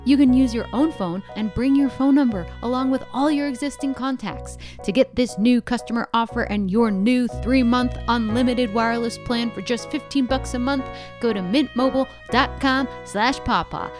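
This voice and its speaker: A woman's voice: a little high, moderate pace. A woman podcast host reading a scripted sponsor advertisement. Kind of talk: scripted ad read. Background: music.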